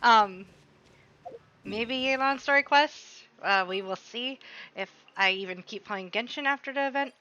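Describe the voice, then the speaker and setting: Bright-voiced woman chatting on a game stream. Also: on the high side, unhurried, lo-fi sound. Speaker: livestream host.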